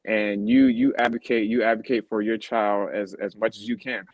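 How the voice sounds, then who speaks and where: A man in his thirties, a guest in an interview, talking in a halting way with many fillers and restarts. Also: on the low side, steady tone, rough recording. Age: 30s. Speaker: guest, kidney advocate. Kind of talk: casual interview talk.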